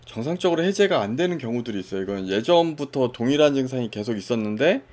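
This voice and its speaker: A man casually walking through a software tutorial step by step, pointing things out as he goes. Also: medium pitch, expressive, fast talker. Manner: casual step-by-step explaining with fillers. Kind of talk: screen-recorded software walkthrough.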